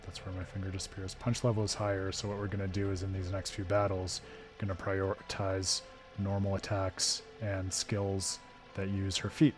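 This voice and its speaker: A hoarse man hosting a live game stream, talking through his thoughts in a thoughtful, digressive way with frequent restarts. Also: sits low, monotone, normal speed, over music. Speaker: streamer and host.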